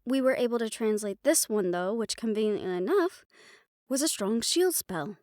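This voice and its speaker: Woman acting a higher-voiced, scheming magic researcher. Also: lively intonation, normal speed, darkish tone, no echo, studio quality, lightly acted. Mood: confident, brisk. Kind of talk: scripted fantasy audio roleplay acting.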